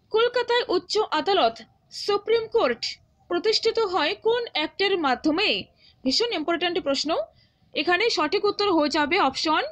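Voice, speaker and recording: A woman teaching an informal exam-preparation lesson, repeating answers and checking in with short tag questions. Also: sits high.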